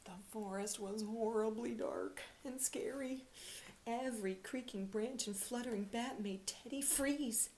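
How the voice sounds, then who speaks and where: A woman reading aloud to children like a teacher, expressive, often dropping into breathy, hushed storytelling. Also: on the high side, a little slow. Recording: faint background noise.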